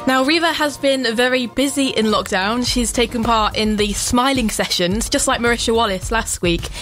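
A woman's voice: fairly high pitch, moderate pace, a bit bright. A woman radio presenter talking directly to listeners between songs in a chatty way. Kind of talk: scripted radio links. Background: faint music bed.

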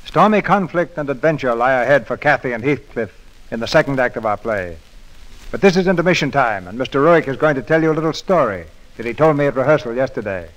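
A man's voice: medium pitch, lively intonation, fast, poor audio. A man announcing a radio play in a dramatic, declamatory 1940s style.

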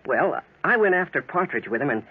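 A man's voice: on the high side, sing-song, fairly quick, slightly rough. An actor playing a teasing, folksy country boy, someone's younger brother, in a 1940s radio drama. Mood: wary. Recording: muffled 1940s radio recording.

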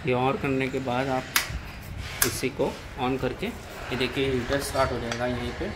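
A man's voice: medium pitch, little pitch movement, normal speed. A man with an Indian accent explaining step by step how to operate a machine while he works it, recorded on a handheld phone in a workshop. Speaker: machine seller demonstrating equipment. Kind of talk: hands-on product demonstration. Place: indoor workshop floor. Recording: loud machine and handling noise.

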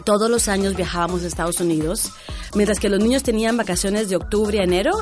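A woman from Guatemala, a guest entrepreneur, answering interview questions about her life in a relaxed way with many fillers. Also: medium pitch, fast talker, soft background music.

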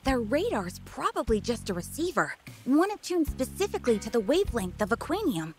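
A woman's voice: on the high side, sing-song, a little fast, soft background music. A woman voicing an eager, polite little desert creature mechanic in a video game, chirpy and earnest. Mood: earnest, helpful.

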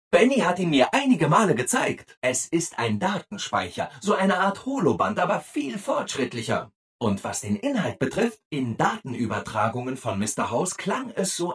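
Over-eager robot assistant, video game character, hugely swooping intonation, very husky rough-edged tone, relentlessly cheerful. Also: male voice, a little high, heavily acted. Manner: chirpy, over-eager, exaggeratedly obliging.

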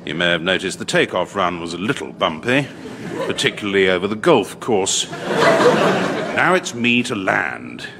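An actor playing a suave, middle-aged airline first officer in a radio sitcom, urbane and drily ironic, with an English accent. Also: male voice, low-pitched, very animated, unhurried, creaky, in a large hall, very noisy, exaggerated voice. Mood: jovial, sly. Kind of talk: British radio sitcom acting.

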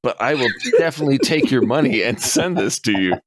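A man in his forties on a podcast, chatting casually, animated and swearing freely as he acts out customers' lines. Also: medium pitch, brisk, background noise. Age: 40s. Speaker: podcast co-host.

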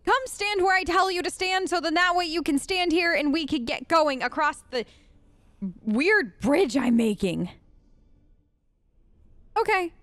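Female let's player, high voice, chatty, wry, playful, dry close mic. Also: a bit bright, no echo. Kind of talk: casual role-play game commentary.

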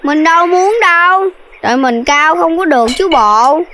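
A woman's voice: very high-pitched, normal speed, bright, forceful tone, slight background noise. A woman voicing a small, sulky boy piglet in a children's cartoon, whiny and childish.

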